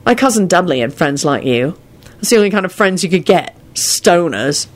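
A woman's voice: fairly low pitch, lively intonation, normal speed. A woman voicing a young man, a wizard, who speaks mildly but turns snappish. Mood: mild, disdainful. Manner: mild, then snappish. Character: exasperated young adult male wizard, godfather.

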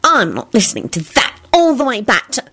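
A woman's voice: a little high, huge pitch swings, brisk, sharp tone, slightly rough, pitched above the performer's own voice, exaggerated voice. A woman voicing an irritable, dramatic woman in a storybook, whiny and screechy. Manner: squealing, screechy.

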